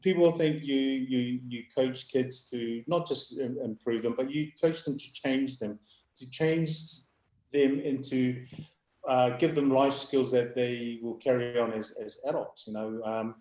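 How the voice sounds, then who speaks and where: A middle-aged man with a loud, booming voice, a youth rugby coach talking in halting bursts with frequent laughs. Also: fairly even intonation. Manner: halting, restarts, laughs often. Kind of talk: live video call chat. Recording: echoey room.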